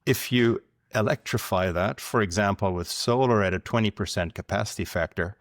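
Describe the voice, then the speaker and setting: A man from Germany with a German accent, explaining things in a measured way as a podcast guest. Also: fairly low pitch, no room sound, clean studio sound. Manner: measured, explanatory, numbers-driven. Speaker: guest, company founder. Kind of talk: podcast interview.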